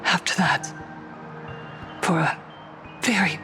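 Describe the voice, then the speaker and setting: Slow, tender saintly female preacher. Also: lowish voice, bright, forceful tone, soft background music. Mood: grieving, solemn. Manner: solemn, tender, dramatic pauses, often breathy. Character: saintly, sorrowful female cult leader addressing her followers. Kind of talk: scripted video game speech to a crowd.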